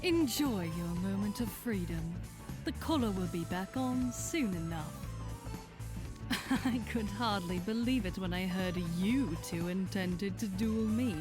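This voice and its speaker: A woman's voice: medium pitch, expressive, a little slow, lightly acted. A woman voicing a haughty, imperious young male house leader in a game fan dub, with formal, pompous delivery. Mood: haughty, scornful. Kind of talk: dramatic game dub acting. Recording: music under the voice.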